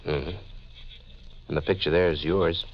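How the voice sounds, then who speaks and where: An actor playing a smug, menacing self-styled police detective in a curt, sardonic 1940s radio mystery style. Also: male voice, deep voice, steady tone, unhurried. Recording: muffled, noisy 1940s radio recording.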